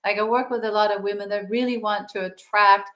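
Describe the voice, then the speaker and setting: Give me a soft, reflective woman, sounding a bit roomy. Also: medium pitch, fairly even intonation, a little fast. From Denmark. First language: Danish. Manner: soft, meditative, drifting quieter. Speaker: guest, yoga teacher and author. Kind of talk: spiritual interview talk.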